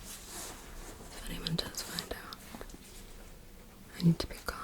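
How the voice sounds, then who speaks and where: Woman doing a slow soft-whispering noir dame, mellow and hushed. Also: lightly acted. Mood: hushed, wary. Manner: soft whispered, close and coaxing. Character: cool, mysterious woman tending a drugged man, watchful. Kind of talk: ASMR noir audio drama.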